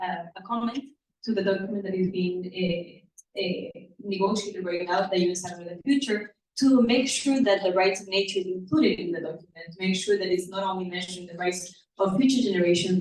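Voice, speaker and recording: Woman, Ecuadorian Spanish accent, earnest activist addressing an event, halting and repetitive delivery; very reverberant, distant recording. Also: medium pitch, a little mellow. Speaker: activist, panel speaker at a conference. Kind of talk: public presentation to a live audience. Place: indoor event room. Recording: echoey room, distant mic, background noise.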